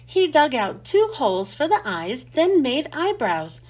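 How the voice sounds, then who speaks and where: High-pitched, slow-paced woman storyteller. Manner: expressive, clearly enunciated storytelling for children. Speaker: read-aloud host. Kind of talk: children's storybook narration.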